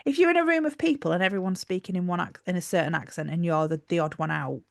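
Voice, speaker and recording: A woman's voice: lowish voice, fast talker, vocal fry, no echo, clean studio sound. A woman with a Yorkshire accent, co-hosting a casual podcast chat and explaining her thoughts with fillers and restarts. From Yorkshire, England. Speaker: podcast co-host.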